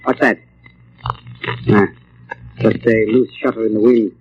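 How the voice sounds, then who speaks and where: An actor playing a pompous, sinister mind reader who craves power, in the theatrical style of an old radio drama. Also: male voice, lowish voice, little pitch movement, unhurried, natural voice. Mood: haughty, scornful. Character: imperious, sinister male mind reader, megalomaniac turning panicked. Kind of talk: 1940s radio drama acting. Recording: muffled old radio recording, faint noise.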